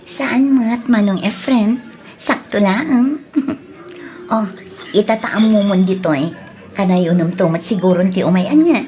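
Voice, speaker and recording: A woman's voice: medium pitch, a little slow, darkish tone, natural voice. A woman with an Ilocano accent playing a coy, friendly young bar worker in an audio drama. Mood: friendly, teasing.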